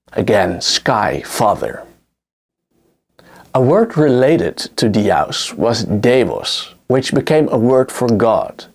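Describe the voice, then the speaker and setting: A man with a Dutch accent, a native Dutch speaker, narrating a scripted history lesson in an explanatory way. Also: fairly low pitch. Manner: explanatory, lively intonation. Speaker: history lecturer.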